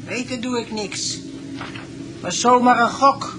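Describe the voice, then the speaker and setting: A woman's voice: fairly high pitch, fairly even intonation, slow, background noise. An actress playing a cryptic, ominous farm woman in a Dutch radio drama. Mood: guarded, ominous.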